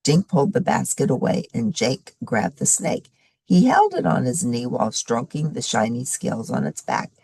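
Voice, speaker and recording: A woman reading a children's chapter book aloud in an animated, expressive storytelling manner. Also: rumbling low, moderate pace, creaky, husky, dry recording. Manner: animated storytelling with varied character voices. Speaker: story reader.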